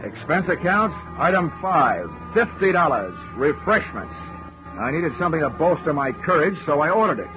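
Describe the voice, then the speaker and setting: A man's voice: on the high side, big pitch swings, normal speed. An actor playing a hard-boiled insurance investigator in a 1940s radio drama, narrating his case with dry wisecracks. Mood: wry. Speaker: narrator and lead. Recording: lo-fi 1940s radio broadcast with music.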